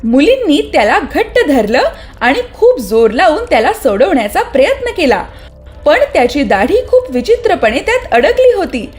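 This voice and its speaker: A woman narrating a scripted children's story as an expressive storyteller. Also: high-pitched, moderate pace, faint music behind.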